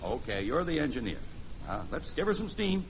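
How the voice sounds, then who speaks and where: An actor playing a kindly middle-aged American father in a 1950s radio sitcom, gentle, folksy and patient as he plays along with a child. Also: on the high side, sing-song, brisk, creaky. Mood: playful, eager. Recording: muffled, hissy vintage radio recording.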